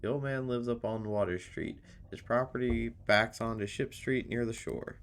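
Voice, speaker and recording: A man's voice: medium pitch, normal speed, creaky, slight background noise, lightly acted. A man reading a visual novel's part of a suspicious small-town pub local, guarded and needling with strangers in a folksy way. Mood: grudging. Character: suspicious, grudging local pub drinker, gossipy townsman.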